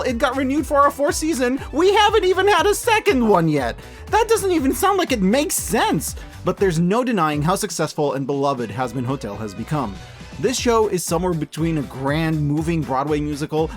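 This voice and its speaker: A man hosting a scripted video-essay review, speaking emphatically with playful exaggeration and joking asides. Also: sits high. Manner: animated, emphatic, hyperbolic, jokey asides. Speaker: host reviewing animated shows and media. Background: background music.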